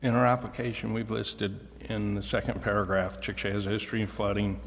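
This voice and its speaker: A man questioning formally and deliberately as a council member at a public meeting. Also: fairly low pitch, little pitch movement, vocal fry, slight background noise. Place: council chamber. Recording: reverberant hall.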